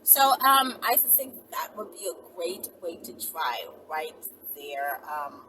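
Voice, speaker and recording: A woman in her thirties giving casual, emphatic instructions to camera, often checking in with a quick "okay?" or "right?". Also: sits low, big pitch swings. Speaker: vlogger giving advice. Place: inside a moving car. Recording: loud car and road noise, distant mic.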